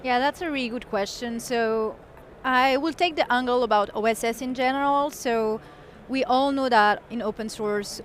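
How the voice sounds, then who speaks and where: A woman guest at a conference interview, explaining her community work eagerly in quick bursts. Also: a little high, a little hiss or hum. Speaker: software engineer and community guest.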